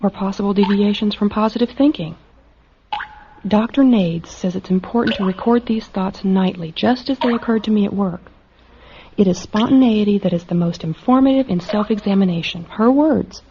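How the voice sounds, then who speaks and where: An actress plays an anxious, dutiful woman doctor in a radio drama. Her voice is soft and mellow, with a hesitant, questioning manner. The audio is muffled, with faint noise. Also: mid-range voice, moderate pace, close to an everyday voice. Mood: troubled musing.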